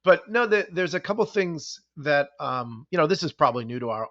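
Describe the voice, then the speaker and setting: A man's voice: fairly high pitch, expressive, brisk, a bit bright, no room sound. Chatty Boston man co-hosting a podcast. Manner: chatty, jokey, asks co-host questions. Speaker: podcast co-host. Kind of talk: casual podcast discussion. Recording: dull, dry podcast audio.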